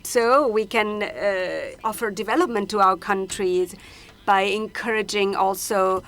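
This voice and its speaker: A woman interpreting a panel discussion simultaneously, halting often with hesitations and restarts as she renders each speaker. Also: mid-range voice, fairly bright tone. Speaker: conference interpreter.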